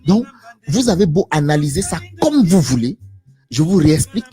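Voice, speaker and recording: A man's voice: a little high, big pitch swings, moderate pace, dark tone, slightly rough, faint music behind. A man giving a live stream monologue, lecturing with repeated rhetorical questions and addressing listeners directly. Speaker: live stream guest speaker.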